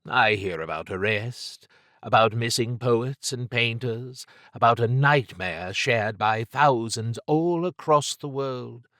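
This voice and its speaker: A man voicing a worldly, lazily amused woman thief who speaks in formal, measured phrases. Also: mid-range voice, pushed and bright, no echo, clean studio sound, pitched above the performer's own voice. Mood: cryptic.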